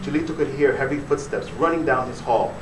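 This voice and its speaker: A man's voice: mid-range voice, brisk. New man reading fiction, echoey room. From born in Puerto Rico, raised in the Bronx, New York. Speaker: author reading own fiction. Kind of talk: dramatic literary reading to a live audience. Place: bookstore event. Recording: echoey room, distant mic, noisy.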